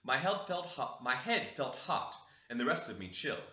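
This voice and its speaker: A man narrates an old novel in a very bright, forceful voice. His delivery is expressive and moves around in pitch. The sound is roomy and echoey. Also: moderate pace, muffled recording. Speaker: audiobook reader. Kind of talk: scripted narration of a classic novel.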